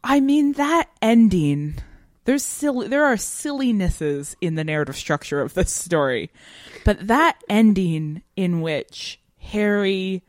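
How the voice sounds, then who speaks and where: A woman's voice: medium pitch, lively intonation, takes it slowly, a little mellow, dry recording. A woman co-hosting a podcast, talking through a literary analysis in an emphatic, reflective way with academic phrasing. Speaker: podcast co-host. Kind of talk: analytical literary discussion, conversational.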